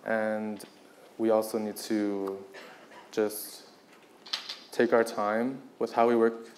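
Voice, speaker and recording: Low, even-voiced man speaking reflectively. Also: slow, slight background noise. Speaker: panelist. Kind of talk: earnest panel talk, unscripted.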